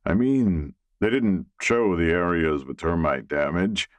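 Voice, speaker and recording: A man's voice: low-pitched, animated, unhurried, no room sound. A man playing an amiable, slightly awkward middle-aged man in an audiobook, easygoing and mildly sheepish.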